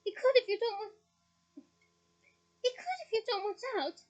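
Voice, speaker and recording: A woman's voice: very high voice, sing-song, normal speed, dull audio, pitched above the performer's own voice. A woman voicing a bossy teenage girl who scolds sharply. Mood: angry.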